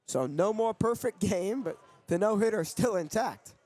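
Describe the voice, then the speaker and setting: A man's voice: high-pitched, expressive, normal speed, a little mellow, no echo. A young man doing sports broadcast commentary as a play-by-play announcer and reporter. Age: young adult.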